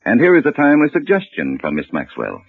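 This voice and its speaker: A man's voice: medium pitch, wildly swooping pitch, quick pace, gravelly. A man delivering a polished, scripted 1940s radio commercial as the announcer. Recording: muffled, dry old radio recording.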